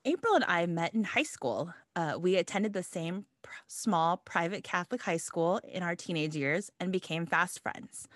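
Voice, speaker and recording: A woman's voice: lowish voice, lively intonation, moderate pace, no echo, clean studio sound. A woman in her thirties from Los Angeles, introducing herself as podcast co-host in an upbeat, eager scripted read. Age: 30s.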